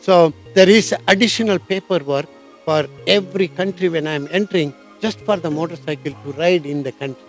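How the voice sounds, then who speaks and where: A man's voice: a little high, fairly quick, faint music behind. A man answering interview questions earnestly in simple phrases and with restarts. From India. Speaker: interview guest, motorcycle traveler.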